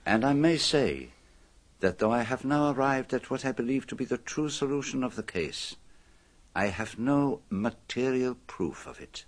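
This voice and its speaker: A man playing a polite, methodical foreign detective in a radio drama, with a French accent and precise, measured oratory. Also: medium pitch, expressive, close to an everyday voice. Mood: solemn, deliberate.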